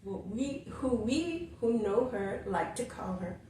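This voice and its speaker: A woman's voice: fairly high pitch, expressive. A woman hosting a casual interview, giggly and drawn out as she asks questions. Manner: warm, giggly, slow and drawn out. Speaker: host. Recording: roomy echo, distant mic, faint hiss.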